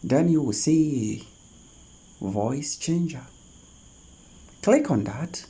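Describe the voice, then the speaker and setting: Slow, mellow, husky tutorial host. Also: mid-range voice, expressive. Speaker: host of a tech tutorial channel. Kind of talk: spoken step-by-step screen tutorial.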